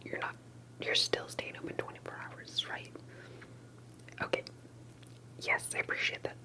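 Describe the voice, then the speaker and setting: A woman whispers in a breathy, unvoiced voice, as in an ASMR roleplay. She plays an interviewer, asking things gently and hesitantly. Her phrases come with small pauses between them. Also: rough recording.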